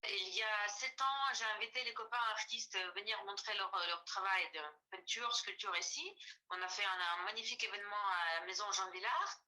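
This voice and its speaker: A woman's voice: medium pitch, normal speed, bright, forceful tone, low-quality recording. A woman in her forties from Ukraine, a native Ukrainian speaker, talking as an artist guest on a video call, with many fillers and restarts. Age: 40s. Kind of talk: casual interview.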